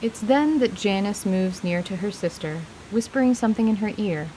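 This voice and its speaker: A woman narrating scripted fantasy in a measured, literary storytelling manner. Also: medium pitch, lively intonation, warm tone, a little hiss or hum, rough recording.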